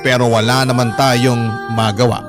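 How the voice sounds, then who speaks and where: A man's voice: mid-range voice, little pitch movement, moderate pace, close to an everyday voice. A man acting as a sorrowful letter writer, narrating a radio drama in an emotive, heartfelt way. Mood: grieving. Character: sorrowful letter writer recounting a love story. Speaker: story narrator reading a letter. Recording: music bed clearly behind narration.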